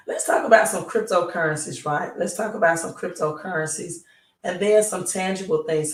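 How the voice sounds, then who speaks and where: A hoarse woman hosting a live stream, talking slowly and pausing often to ask "right?". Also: fairly low pitch, a bit bright. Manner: slow, halting, repeats "right?". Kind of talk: casual live stream talk. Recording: roomy, distant mic.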